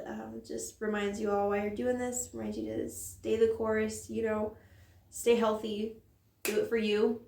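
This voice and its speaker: A woman's voice: mid-range voice, creaky, a little mellow, recorded from a distance. A mother vlogging in an earnest, rambling way, with a strained voice from a sore throat. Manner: rambling and earnest, many fillers. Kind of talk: candid personal vlog monologue. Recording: roomy echo, voice set back from mic.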